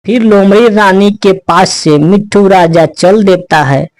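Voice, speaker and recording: A man's voice: high-pitched, measured pace, no echo. A man narrating a scripted children's animal story as the storyteller.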